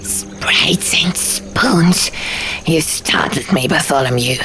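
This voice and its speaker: A woman's voice: deep voice, slow, a bit bright. A woman voicing a fussy, childlike male gargoyle with pompous, archaic, theatrical phrasing in a storybook audiobook. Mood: huffy, dramatic. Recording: music behind.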